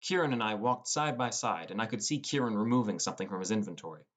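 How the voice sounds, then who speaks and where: A man's voice: on the low side, expressive, fast talker, fairly bright tone. A man narrating a scripted first-person fantasy audiobook as a teenage boy gamer.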